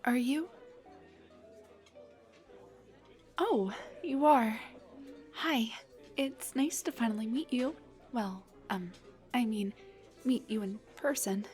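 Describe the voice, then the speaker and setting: A woman's voice: on the high side, slow pace, a little mellow, soft background music. A woman playing a shy, nervous dullahan on a blind date in an intimate audio roleplay, speaking in a flustered, hesitant, rambling way. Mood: nervous, awkward. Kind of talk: intimate scripted audio roleplay addressed to the listener.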